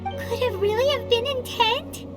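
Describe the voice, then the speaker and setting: Anime game mascot: childlike floating fairy, excitable and inquisitive, squeaky, drawn-out slow delivery, music behind. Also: female voice. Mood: curious, startled. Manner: exclamatory, wide-eyed questioning. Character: tiny childlike floating fairy companion, excitable, curious, cheeky. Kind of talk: anime-style video game voice acting.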